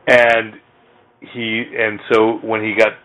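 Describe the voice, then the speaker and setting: A man hosting a casual comics chat, telling his thoughts in a halting, reflective way. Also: fairly low pitch, monotone, a bit bright, poor audio. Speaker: podcast host.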